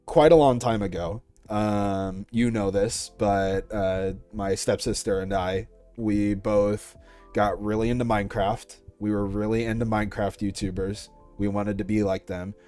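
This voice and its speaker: A man's voice: fairly low pitch, fairly even intonation, measured pace, dark tone. A young man answering questions about his creative work in a casual interview, as a content creator. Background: music.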